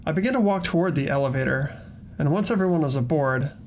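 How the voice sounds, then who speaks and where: A man's voice: a little high, fast talker, slight background noise. A man narrating a video game playthrough, reading dramatically and chatting casually.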